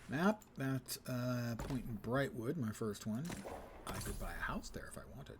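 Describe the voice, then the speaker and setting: A man's voice: mid-range voice, animated, measured pace, noisy background. A middle-aged man giving casual live commentary on his game, thinking aloud as he plays. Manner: thinks aloud while playing.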